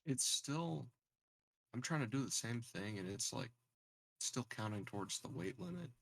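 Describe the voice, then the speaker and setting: A man's voice: mid-range voice, animated, normal speed, creaky, fairly bright tone, no echo. A man chatting casually as a player in an online tabletop game, a little hesitant and self-deprecating. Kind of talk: casual chat.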